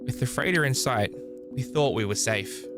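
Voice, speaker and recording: A man streaming a game, reading its dialogue aloud in character and switching between voices, with animated delivery. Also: medium pitch, normal speed, music in the background. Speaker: streamer playing horror visual novels.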